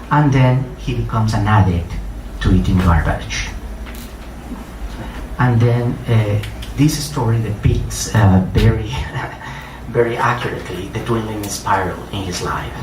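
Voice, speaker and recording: Middle aged colombian guy doing a reading, lowish voice, spanish accent, kinda halting, echoey room w noticeable noise. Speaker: writer and poet giving a reading. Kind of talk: public reading with personal introduction. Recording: roomy, distant mic, clear noise.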